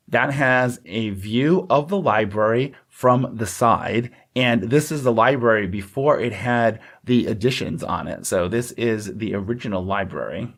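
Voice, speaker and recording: A man co-hosting a podcast in casual conversation, explaining things in a chatty, agreeable way. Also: fairly low pitch. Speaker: podcast co-host. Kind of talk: casual scripted-outline podcast chat.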